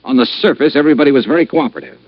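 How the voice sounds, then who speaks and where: An actor playing a tough, blunt insurance investigator in an old-time radio detective drama. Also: male voice, mid-range voice, rapid-fire, slightly rough, no room sound, close to an everyday voice. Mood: pressing. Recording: dull vintage radio recording.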